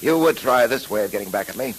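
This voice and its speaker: Resolute police detective male actor, 1930s radio acting, deliberate and earnest, medium voice, slight hiss on the recording. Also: mid-range voice, animated, close to an everyday voice, rough recording. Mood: resigned.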